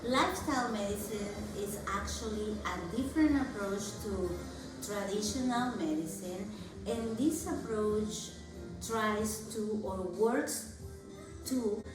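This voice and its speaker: A woman's voice: medium pitch, slow, over music. Earnest woman from Peru, echoey room. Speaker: wellness coach. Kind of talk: conversational explaining. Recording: very echoey room, far from mic.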